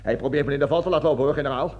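Male actor, panicky army colonel, highish male voice, blustering, stammering, pleading, self-justifying, theatrical radio play, muffled old recording. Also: racing, close to an everyday voice. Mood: indignant. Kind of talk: theatrical radio drama acting.

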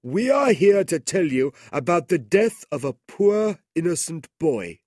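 A man's voice: fairly high pitch, big pitch swings, unhurried, a little mellow, no echo, clean studio sound, pitched above the performer's own voice. A man voicing a kindly but reproachful elderly merchant in a storybook reading. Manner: reproachful, raised voice.

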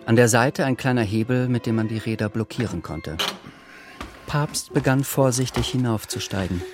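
A man's voice: medium pitch, normal speed. A man narrating a radio play in a scripted, literary style. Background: music.

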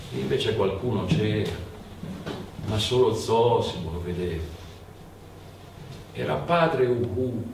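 Roomy, slow-paced male storyteller. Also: mid-range voice, gravelly. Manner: dramatic, incantatory, repeats phrases. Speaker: storyteller and stage actor. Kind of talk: theatrical folk-tale narration. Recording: roomy, distant mic, faint background noise.

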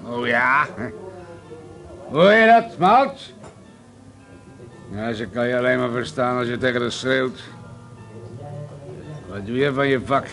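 An actor playing a crude, boozy, domineering older man in a Dutch radio play, gruff and mocking, given to swearing bluster. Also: mid-range voice, lively intonation, slow pace, pauses often, fairly bright tone, soft background music, poor audio. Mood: sneering bluster.

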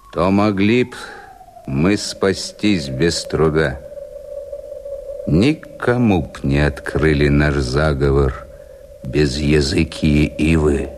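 An actor playing a scheming, scornful rebel conspirator, declaiming verse emphatically and deliberately in a radio play. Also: male voice, extremely low pitch. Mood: urgent persuasion. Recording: noisy radio play soundtrack.

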